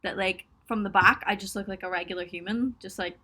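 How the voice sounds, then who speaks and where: Young woman rambling on a webcam stream from her little home studio, bright voice, giggles a lot, lots of ums. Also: mid-range voice, fairly even intonation, a little fast. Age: young adult. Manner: chatty and giggly, self-mocking, frequent ums and laughs. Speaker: musician hosting a livestream for supporters. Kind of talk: casual live chat answering viewer questions. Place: small indoor home studio room.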